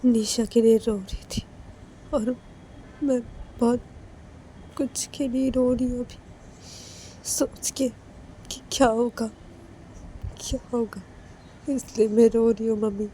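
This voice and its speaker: Tearful young woman, pleading, higher than the narrator, woman doing voice, faint hiss behind. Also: fairly even intonation, takes it slowly, long pauses, dark tone.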